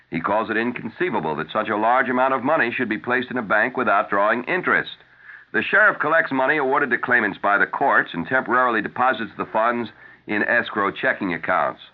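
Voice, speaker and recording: A man reading scripted news and ad copy as a radio announcer, in a measured, formal style. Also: medium pitch. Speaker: radio newscaster. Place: radio studio. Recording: tinny, narrow-band 1970s radio broadcast.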